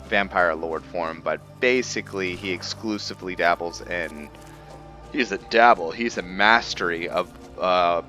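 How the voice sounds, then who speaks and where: Guy talking through his roleplay game, lowish bright voice, slow and halting, music behind. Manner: halting, reflective, thinking aloud. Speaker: gaming host. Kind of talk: casual roleplay game commentary.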